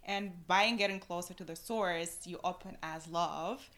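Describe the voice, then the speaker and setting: Woman from Tunisia, English, slow pace, reflective, earnest. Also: fairly low pitch, fairly bright tone. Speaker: podcast guest. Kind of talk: casual podcast interview.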